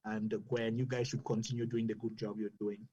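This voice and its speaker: A man's voice: fairly low pitch, flat delivery, fairly quick, warm tone, dull audio. A man from Cameroon, a doctor speaking earnestly as a podcast guest, often restarting his sentences. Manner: earnest, advocating, with frequent restarts. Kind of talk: earnest explanatory podcast talk.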